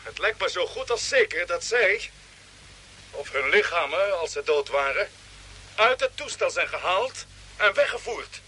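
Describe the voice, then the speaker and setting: A resolute male space commander from an old radio serial. His voice is high and sharp, his manner decisive and commanding. A light layer of noise sits on the vintage recording. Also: lively intonation, unhurried, heavily acted. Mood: grave, determined. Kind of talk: old Dutch science fiction radio drama acting.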